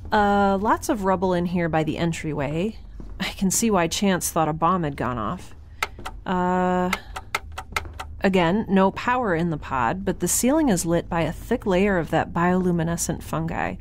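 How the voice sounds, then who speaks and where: Halting, low-voiced young space scientist. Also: female voice, animated, a little hiss or hum. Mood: uneasy, observant. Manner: halting, self-interrupting, conversational. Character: nervous, wry young woman scientist exploring a space station. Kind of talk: audio drama, spoken field log.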